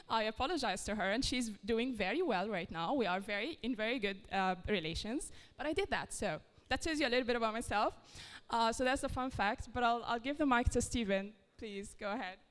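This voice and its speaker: A woman's voice: fairly high pitch, lively intonation, moderate pace, a bit bright. A woman from Morocco, moderating a conference panel in a chatty, self-deprecating way. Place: large conference hall. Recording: long reverb of a conference hall.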